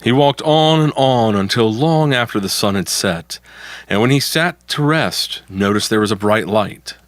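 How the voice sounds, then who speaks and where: A man's voice: lowish voice, animated, unhurried, no echo. A man narrating a folktale from a script as the storyteller.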